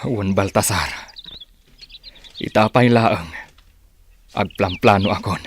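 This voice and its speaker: A man's voice: on the low side, takes it slowly, slight background noise. A man playing a vengeful fighter plotting a killing, in a radio drama style. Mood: grim.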